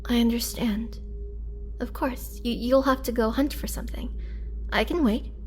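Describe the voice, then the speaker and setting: A woman playing a weakened, imprisoned vampire in a roleplay audio drama, gentle and polite, speaking hesitantly with soft, whispery breath and a pleading earnestness. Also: on the high side, moderate pace, slight background noise, close to an everyday voice. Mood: weak, pleading. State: weak, drained. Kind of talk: girlfriend roleplay audio drama, intimate acting.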